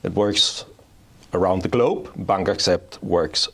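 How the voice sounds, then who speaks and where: A middle-aged man from Denmark speaks with a gravelly, fairly low voice. He explains things patiently as an interview guest, circling back and rephrasing as he goes. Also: slow pace, fairly bright tone. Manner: explanatory, self-restarting. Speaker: payments strategy executive, guest. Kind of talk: interview answers. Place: office in Oslo.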